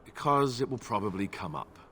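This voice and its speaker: Fantasy game companion: young warrior, lowish voice, hesitant, humble and drily self-mocking. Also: male voice, big pitch swings, brisk, bright, forceful tone, slight background noise. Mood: earnest, sheepish. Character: young male warrior, self-deprecating commoner Grey Warden, wry. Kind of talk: fantasy video game dialogue.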